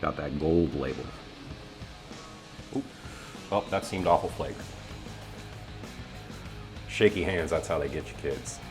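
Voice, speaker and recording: A man's voice: very deep voice, measured pace, long pauses, music in the background. A man chatting casually as an interview guest, in a laid-back, joking way. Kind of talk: casual chat.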